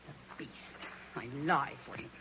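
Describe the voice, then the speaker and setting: A woman's voice: unhurried, natural voice. A woman playing a jealous, passionate, sulky young Sicilian woman with an Italian accent, acted melodramatically in a 1940s radio drama. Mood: wounded, jealous. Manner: melodramatic, pouting. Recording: dull, noisy old radio recording.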